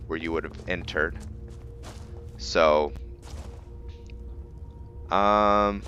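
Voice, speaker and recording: Low-voiced man, halting casual game commentary. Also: fairly bright tone, lo-fi sound, slight background noise. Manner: halting, thinking aloud. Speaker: video game streamer, let's-play host. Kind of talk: casual roleplay gameplay commentary.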